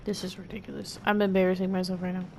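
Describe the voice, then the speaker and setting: A woman's voice: fairly low pitch, flat delivery, quick pace, vocal fry, background noise. A young woman streamer giving casual, playful gameplay commentary in slangy exclamations. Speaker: game streamer.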